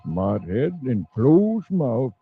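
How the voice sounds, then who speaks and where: A man voicing a folksy, wise old male storyteller in a slow manner. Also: mid-range voice, sing-song, vocal fry, heavily acted. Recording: tinny old radio recording with music behind.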